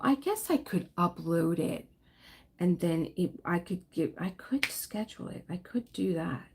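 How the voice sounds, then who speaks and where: A woman hosting a relaxed livestream, chatting in a rambling way while she crafts and answers viewers. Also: on the low side, vocal fry, slight background noise. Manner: rambling, chatty, laughs often, reads and answers viewer comments. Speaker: livestream host. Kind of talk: casual livestream chat while diamond painting.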